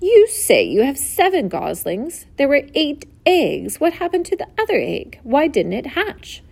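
A woman voicing a sly, greedy male rat with a sharp voice who snarls and probes suspiciously in a storybook reading. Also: high voice, huge pitch swings, a little slow, vocal fry, pitched above the performer's own voice, heavily acted. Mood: probing.

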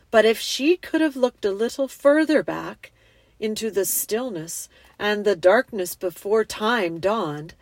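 A woman voicing a great male storybook lion, majestic and gentle, speaking gravely and slowly. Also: mid-range voice, no echo.

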